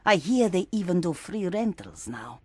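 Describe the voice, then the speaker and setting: Lively, obliging townswoman trader. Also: lowish voice, moderate pace, slightly rough, dry recording. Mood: helpful. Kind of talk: video game voice acting.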